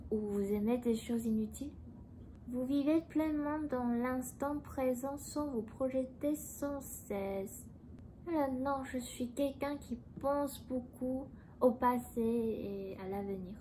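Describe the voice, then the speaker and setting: A woman's voice: on the high side, a little hiss or hum, low-quality recording. A young woman from Taiwan, speaking French as an in a casual, hesitant chat while answering a quiz. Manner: soft-spoken, hesitant, pauses to find words. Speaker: vlogger. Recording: background music under the voice.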